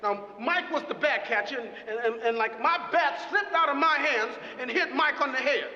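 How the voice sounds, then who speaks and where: An actor playing an emotional, rambling preacher who introduces his brother in a dramatised speech, halting and repeating himself with fervour. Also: extremely high pitch, sharp tone, heavily acted. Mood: excited storytelling. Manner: halting, repetitive, impassioned. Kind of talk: dramatised public speech from a pulpit. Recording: echoey noisy hall, dull sound, crowd.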